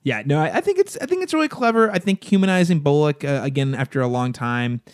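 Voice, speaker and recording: A man's voice: on the high side, lively intonation, fast talker. A man co-hosting a podcast in casual conversation, agreeable and chatty. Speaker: podcast co-host and film critic.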